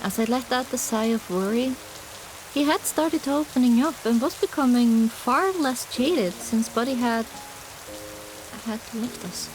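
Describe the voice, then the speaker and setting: A woman narrating a first-person fantasy audiobook in an expressive, dramatic storytelling manner. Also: on the high side, a little slow, noisy background.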